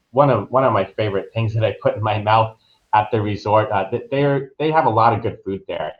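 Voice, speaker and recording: A man's voice: fairly low pitch, highs cut off. A man speaking as a guest travel professional in a casual interview, explaining things informally.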